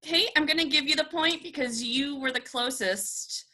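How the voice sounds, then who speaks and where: A woman's voice: sits high, normal speed, bright, forceful tone. A woman hosting a comedy panel game show over a video call from home. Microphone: video call microphone.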